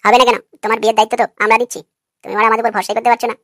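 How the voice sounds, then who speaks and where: Lady doing a cocky cartoon lad, talks super fast, pushy n sweary, dry sound. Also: fairly high pitch, little pitch movement, a bit bright, no room sound, lightly acted. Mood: cajoling. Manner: pushy, foul-mouthed. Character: brash, lewd, scheming young male prankster. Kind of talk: comic cartoon dialogue.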